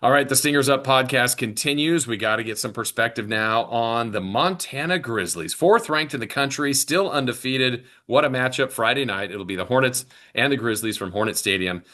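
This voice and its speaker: A man's voice: medium pitch, normal speed, hardly any pauses, sharp tone. A man hosting a sports podcast, asking questions in a casual, conversational interview style.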